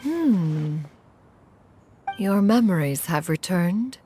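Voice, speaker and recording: A woman's voice: fairly low pitch, lively intonation, extremely slow, mellow, soft background music. A woman voicing a dignified, wise immortal with stately, formal and archaic phrasing in a video game. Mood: probing. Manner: stately, archaic phrasing, calls herself "one".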